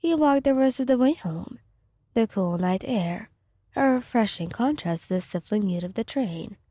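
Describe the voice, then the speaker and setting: Woman, ASMR story narration, mellow tone, dry close sound, soothing delivery. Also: on the high side, lively intonation, moderate pace, dry recording. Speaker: fan fiction reader.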